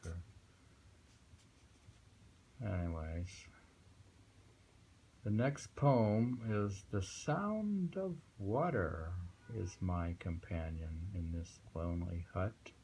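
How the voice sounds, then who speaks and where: A man with a low, creaky voice reads verse and muses on it informally. He speaks very slowly and haltingly, with pauses to think. Faint noise sits behind him. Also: mellow. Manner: halting, musing. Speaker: poetry reader and commentator. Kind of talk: reading poems aloud with informal commentary.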